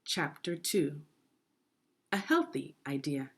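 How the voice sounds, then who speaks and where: A woman reading a children's story aloud in a deliberate, expressive teaching manner, putting questions to the listener. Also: low-pitched, husky. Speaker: education consultant reading a children's book aloud.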